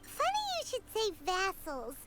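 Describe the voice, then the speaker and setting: A woman voicing a small, childlike floating girl companion in a video game, with a squeaky voice and chirpy, candid manner. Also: wildly swooping pitch, measured pace, full character voice. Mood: indignant. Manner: speaks of self in third person. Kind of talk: animated video game acting. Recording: game music behind the voice.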